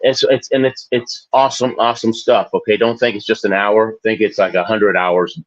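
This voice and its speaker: A man's voice: on the low side, steady tone, a little fast, muffled recording. Rambling self-mocking man in his forties. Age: 40s. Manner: rambling, self-deprecating, laughs often. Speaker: guest, Olympic athlete and author. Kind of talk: casual interview talk.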